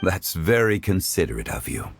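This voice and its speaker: An actor playing a refined, courteous gentleman consultant in a video game, formal, eloquent and composed. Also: low-pitched, sing-song, moderate pace, vocal fry, background noise, full character voice. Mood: gracious, thoughtful. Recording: background music under the voice.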